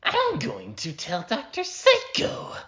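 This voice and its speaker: A man voicing a taunting, dastardly masked assassin from a short story, with drawn-out, mocking delivery in an exaggerated voice. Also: extremely high pitch, wildly swooping pitch, pushed and bright, pitched above the performer's own voice, heavily acted.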